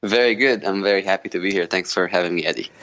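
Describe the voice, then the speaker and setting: A man in his thirties with an Israeli accent, chatting as an interview guest in a loose, halting way. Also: sits low, a bit bright, muffled recording. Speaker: podcast guest, jazz guitarist. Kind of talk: casual conversational interview.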